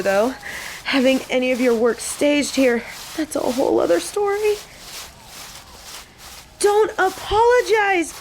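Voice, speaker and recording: A young woman playing an excitable, sassy biker and machine nerd on a date in a romantic audio roleplay. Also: a little hiss or hum, rough recording. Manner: gushing and teasing, swinging between squeals and low deadpan. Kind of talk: romantic audio roleplay, scripted monologue to an unseen listener.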